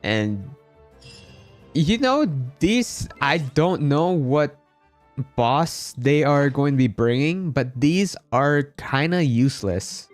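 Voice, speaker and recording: A man's voice: fairly high pitch, sing-song, takes it slowly, dry recording, faint music behind. A man from the Philippines chatting casually as he hosts a game livestream. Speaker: game streamer.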